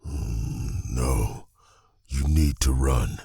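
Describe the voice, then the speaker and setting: A wounded fantasy knight with a very deep, gravelly voice. He speaks slowly and haltingly through pain, stoic and protective, as in an audio drama. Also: dark tone, no room sound, studio quality, heavily acted. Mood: strained, urgent. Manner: strained, halting.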